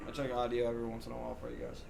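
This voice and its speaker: Very low-voiced woman streaming, game music behind. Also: completely flat delivery, very fast, gravelly. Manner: informal, slangy. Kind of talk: casual live-stream commentary. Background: video game music and dialogue.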